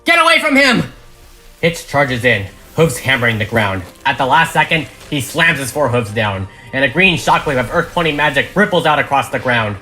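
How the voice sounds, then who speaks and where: Narrator for audio drama script reading: bright, forceful, dramatic delivery, music underneath. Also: medium pitch, animated, brisk, few pauses. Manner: dramatic, reads stage directions.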